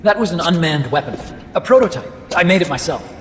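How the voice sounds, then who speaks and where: A man's voice: on the high side, expressive, fairly quick, slight background noise, close to an everyday voice. A man voicing an earnest, talkative robotics engineer in a video game, explaining things in precise technical terms. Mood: curious, wary. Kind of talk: game voice acting, expository dialogue. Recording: music behind the voice.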